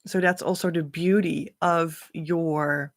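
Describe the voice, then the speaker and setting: Woman, slow unhurried speech, gravelly fry, dry close sound, earnest encouraging coach. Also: low voice, steady tone, dry recording. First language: Dutch. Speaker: spiritual coach and card reader. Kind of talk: casual card-reading monologue.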